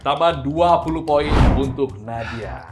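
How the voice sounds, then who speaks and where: A man's voice: mid-range voice, slow. A man hosting a quiz show, reading out clues and scores with emphatic, stretched key words. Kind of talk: lively game show presenting. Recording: music playing behind the voice.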